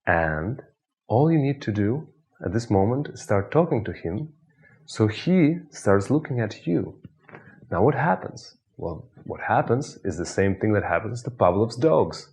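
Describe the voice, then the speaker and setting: Low-voiced Russian-accented male hypnotist. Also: sing-song, darkish tone. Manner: slow, soothing, repetitive suggestions. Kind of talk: guided hypnosis instruction.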